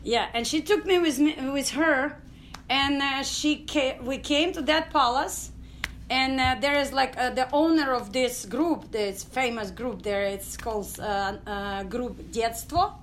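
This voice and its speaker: High-voiced Ukrainian woman telling her story. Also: a bit bright, slight background noise. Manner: halting non-native English with many fillers. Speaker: guest, dance company founder. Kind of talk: storytelling interview.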